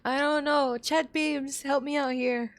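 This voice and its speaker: Slow-paced woman streaming games, emotive. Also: high-pitched, fairly even intonation. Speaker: live streamer playing games. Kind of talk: casual live game-stream commentary.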